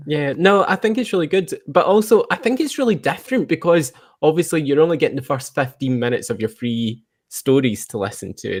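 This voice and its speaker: A man hosting a podcast in a casual online chat, chatty, jokey and quick to laugh. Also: mid-range voice, dry recording, clean studio sound. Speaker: podcast host. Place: online call.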